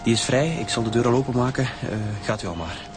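A man's voice: medium pitch, fast, noisy background, natural voice. An actor playing a polite, wary male bank clerk in a radio crime drama. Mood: polite, flustered.

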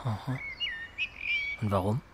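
Subdued, halting middle-aged man played by an actor. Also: on the low side, background noise, lightly acted. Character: Thomas, sober, shy, awkward single Berlin man. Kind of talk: German radio drama, understated dialogue and narration.

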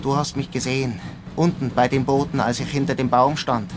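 A man's voice: medium pitch, level tone, brisk, noisy background, lightly acted. An actor playing a jealous, reproachful young man in a German radio drama, speaking accusingly and insistently. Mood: bitter. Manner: accusing, insistent, repeats himself. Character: jealous, reproachful young boyfriend confronting his girlfriend.

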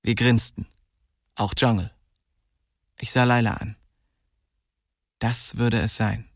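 A man's voice: medium pitch, flat delivery, slow pace, no room sound, studio quality. A male actor playing the young first-person narrator of a radio crime drama, telling it in a terse, inward, restrained way. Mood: terse, reflective. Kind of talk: scripted radio play narration.

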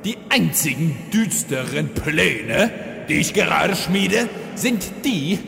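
A theatrical undead villain lord from a fantasy parody radio play. The voice is heavily creaky and slowly paced, swinging widely in pitch with hammy, exasperated menace. It rings in an echoing hall with faint noise. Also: male voice, expressive, fairly bright tone, faint music behind, heavily acted. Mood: indignant. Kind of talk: German audio drama parody.

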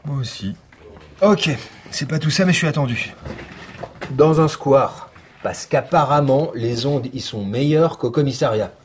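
An actor playing a weary, sardonic police lieutenant with dry, deadpan delivery in a naturalistic fiction podcast. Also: male voice, medium pitch, a little slow, a little hiss or hum, lightly acted. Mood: teasing, grumbling. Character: weary sardonic male police lieutenant, coffee-fuelled pessimist.

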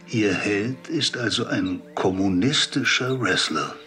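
An actor playing a menacing government agent in a radio play, coolly insistent and controlled. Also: male voice, on the low side, slow pace, pushed and bright. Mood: cool menace. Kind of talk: German radio play acting.

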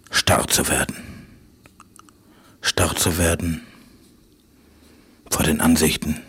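Deep, husky man in slow monologue. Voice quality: husky, breathy. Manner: slow, brooding, repetitive, often dropping to a whisper. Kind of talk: halting spoken-word monologue for radio.

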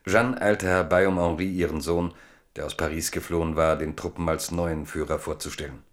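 Male narrator for radio drama: very low voice, measured literary delivery. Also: steady tone. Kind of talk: scripted narration.

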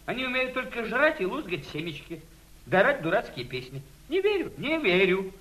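Scornful, hot-tempered émigré gentleman, very high-pitched, theatrical radio-play delivery. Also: big pitch swings, fairly quick, poor audio. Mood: furious contempt. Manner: scornful, repeats himself heatedly. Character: bitter, contemptuous aristocratic Russian émigré man, hot-tempered. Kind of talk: theatrical radio drama acting.

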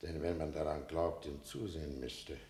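A man playing a mild, grave prison warder in a radio play, simple-seeming yet knowing, deliberate and plain-spoken. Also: steady tone, darkish tone. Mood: grave, guarded. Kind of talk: German radio play acting. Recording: echoey room, rumbling noise.

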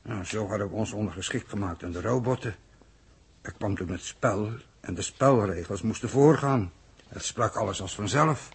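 An actor in a 1960s radio drama playing an earnest, confused and submissive man from an underground city, speaking hesitantly in a husky, gravelly voice. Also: on the low side, moderate pace. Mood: earnest, explaining. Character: thirsty, confused, submissive male city-dweller from a future underground society. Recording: old 1960s radio recording.

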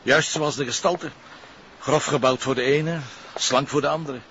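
A man playing a weary, sardonic police commissioner with a Flemish accent, gruff and informal in a naturalistic crime drama. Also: fairly high pitch, steady tone, normal speed, fairly bright tone. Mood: businesslike. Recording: dull, noisy location sound from television.

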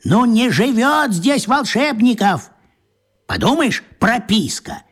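Radio play: grumbling pompous pot-bellied man, very high voice, wildly up and down. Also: sing-song, slow, fairly bright tone, slightly rough, full character voice. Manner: grumbling, repetitive, self-important. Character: grumpy pot-bellied man in pajamas, proud veteran.

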